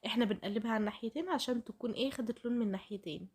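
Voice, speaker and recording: A woman's voice: fairly high pitch, rapid-fire, darkish tone, breathy edge. A woman with an Egyptian accent and a voice altered by a heavy cold, chattily narrating a recipe straight to her viewers in a casual way. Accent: Egyptian Arabic. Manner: chatty, addresses viewers directly. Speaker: home cooking vlogger.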